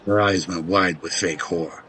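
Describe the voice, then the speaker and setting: A man's voice: sits low, steady tone, normal speed, over music. A man reading scripted narration for an audio drama.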